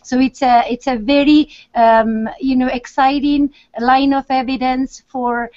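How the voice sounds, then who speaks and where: A woman's voice: high voice, fairly even intonation, unhurried, darkish tone, dull audio. A woman from Argentina, a researcher, speaking earnestly and searching for words on a video chat. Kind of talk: casual panel chat.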